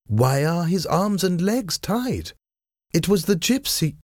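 Guy doing a worried noble lady in a storybook, way higher pitch, earnest, swoopy intonation. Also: sing-song, slow pace, no echo, clean studio sound, heavily acted. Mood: perplexed. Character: anxious, emotional young noblewoman wife. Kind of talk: acted audiobook dialogue.

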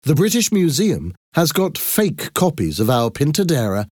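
Man playing a proud, higher-pitched museum expert. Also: very animated, normal speed, a little mellow, dry recording, clean studio sound. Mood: proud, formal. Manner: emphatic, formal presenting. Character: proud, pleased male museum archaeologist addressing people.

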